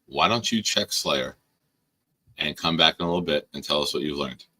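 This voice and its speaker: A man hosting a casual live-stream show, hoarse, teasing and bossing his guest around with sudden loud bursts. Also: rumbling low, moderate pace, a little hiss or hum. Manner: teasing, bossy banter with sudden loud outbursts. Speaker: podcast host.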